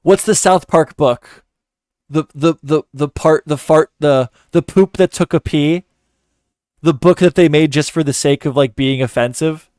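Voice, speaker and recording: Sarcastic profane man, crisp dry voice. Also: on the high side, measured pace, darkish tone, no room sound. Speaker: commentary host. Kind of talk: mocking commentary.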